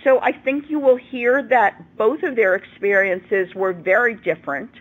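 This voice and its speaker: A woman hosting and moderating an educational program, speaking in a measured, organized way to groups she introduces. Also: fairly high pitch, fairly bright tone, husky, rough recording. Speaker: host and moderator. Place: school room, live videoconference.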